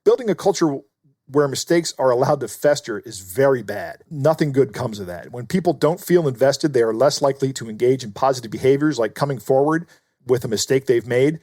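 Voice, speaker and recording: Male podcast co-host, conversational and explanatory, average pitch, dry studio sound. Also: animated, brisk, dark tone, no echo. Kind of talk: conversational commentary.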